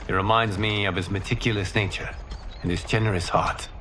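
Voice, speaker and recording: An actor playing a noble, gentle god and protector in a video game, speaking in a formal, earnest, courteous way. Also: male voice, lowish voice, fairly even intonation, a little fast, noisy background, natural voice. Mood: grateful, earnest.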